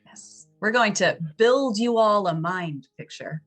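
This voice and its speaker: A woman's voice: mid-range voice, unhurried, faint music behind. A woman chatting casually and playfully as co-host of a roleplaying game show. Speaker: co-host and player. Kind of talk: casual chat.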